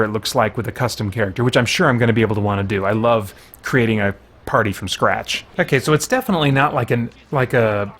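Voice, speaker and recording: A man's voice: medium pitch, a bit bright. A man giving casual, candid first-impressions commentary on a video game, thinking aloud as he plays.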